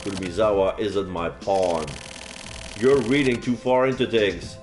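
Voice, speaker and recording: A man voicing characters in a dark noir story game, reading lines in a clipped, dramatic way. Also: fairly low pitch, a little slow, soft background music, pitched below the performer's own voice, close to an everyday voice. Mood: tense.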